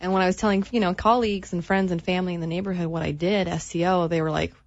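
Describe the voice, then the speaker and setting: A woman's voice: fairly low pitch, steady tone, very fast, no room sound, dull audio. A young woman from Florida, a guest on a radio show, chatting in an upbeat way about her work. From Florida, USA. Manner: upbeat, chatty, laughs often. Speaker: guest, internet marketer. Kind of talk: radio interview chat.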